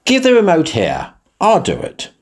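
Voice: mid-range voice, huge pitch swings, moderate pace, husky, exaggerated voice. A performer voicing a grumpy, grumbling male grizzly bear in a radio pantomime. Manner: grumbling, sarcastic.

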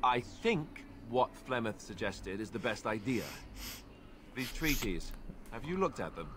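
A wry young warrior over background ambience. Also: male voice, mid-range voice, very animated, unhurried, background noise. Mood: thoughtful. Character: earnest, wry young male warrior companion. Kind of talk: fantasy video game voice acting. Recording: game audio with clear background ambience.

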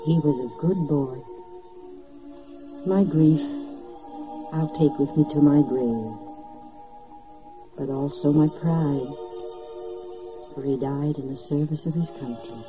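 A man's voice: a little high, slow, long pauses, over music. A man playing a proud, grief-stricken parent of a fallen soldier in a 1940s radio drama, heartfelt and mournful. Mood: grieving, proud. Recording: muffled old 1940s radio recording.

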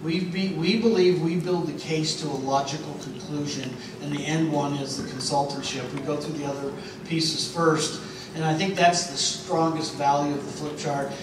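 A middle-aged man coaches a convention crowd in a confident, persuasive way, his voice a touch higher than most men's. It comes from far back in a big, booming hall with steady noise underneath. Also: moderate pace. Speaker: panel host at a sales convention. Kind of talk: panel discussion. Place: large convention hall stage. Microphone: handheld mic. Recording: echoey hall, recorded from far off, noisy.

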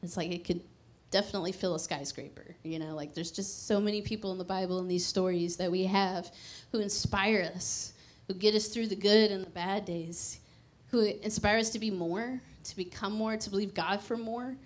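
Lady preaching on a church stage w/ a handheld mic, chatty and emphatic, middle pitch. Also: fairly even intonation, moderate pace, hardly any pauses. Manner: conversational, emphatic, drops to a hushed prayer. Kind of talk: sermon.

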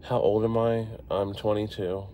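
A man's voice: on the low side, level tone, normal speed, warm tone, low-quality recording. A man in his forties chatting casually and rambling to his live stream viewers while driving at night. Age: 40s. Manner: chatty, self-deprecating, talks to viewers. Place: inside a car driving city streets at night. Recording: road and engine noise behind.

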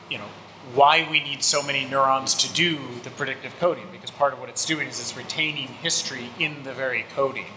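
Man thinking aloud in an echoey hall. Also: medium pitch. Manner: thinking aloud, searching for words. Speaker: panelist. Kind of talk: academic panel discussion. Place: seminar room with a panel table. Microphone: table microphone. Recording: echoey hall with background noise.

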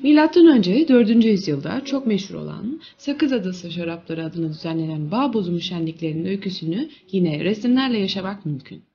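Female documentary narrator for museum tour script: breathy-rough tone, varied melodic delivery. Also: lowish voice, expressive, fairly quick, hardly any pauses, highs cut off.

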